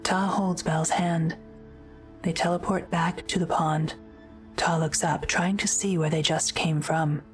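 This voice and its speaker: A woman narrating an audio drama script in a measured, matter-of-fact way. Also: low-pitched, fairly even intonation, soft background music.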